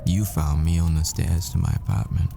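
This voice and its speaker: A man's voice: low-pitched, flat delivery, normal speed, vocal fry, music in the background. A man playing a secret hitman who poses as a professor, a smitten and haunted boyfriend in an intimate audio roleplay monologue. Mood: fond, teasing.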